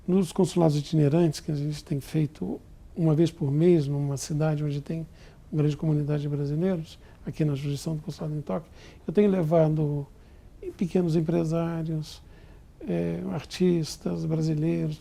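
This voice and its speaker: Gravelly, dark-voiced male diplomat. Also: a little high, fairly even intonation. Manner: measured, formal and deliberate. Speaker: Brazilian diplomat in Tokyo. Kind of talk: formal television interview.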